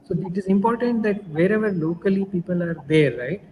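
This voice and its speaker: Fairly high-voiced man. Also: brisk, mellow, long reverb tail, a little hiss or hum, rough recording. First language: Kannada. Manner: thoughtful, explanatory, searches for words. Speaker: panelist. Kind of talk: virtual panel discussion. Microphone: video call.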